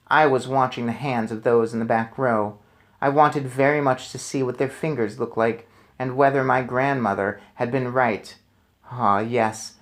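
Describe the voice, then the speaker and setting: A man narrates a children's story aloud in a mid-range voice. He phrases things deliberately and dramatically, as an expressive storyteller would. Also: medium pitch. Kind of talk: expressive children's book narration with casual asides.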